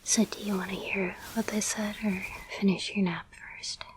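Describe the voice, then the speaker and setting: A woman playing an affectionate, playful girlfriend and caretaker in an intimate roleplay audio, speaking in a soft, breathy whisper with a gentle, hesitant murmur. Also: medium pitch, little pitch movement, moderate pace, slight background noise, close to an everyday voice. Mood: reassuring, pleased.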